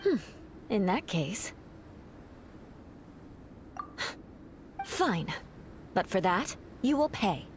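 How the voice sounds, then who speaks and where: A woman's voice: medium pitch, big pitch swings, takes it slowly. Casting: a proud female knight captain, video game, mid-range voice, formal haughty poise with gracious courtesy, faint noise behind. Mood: cool, haughty.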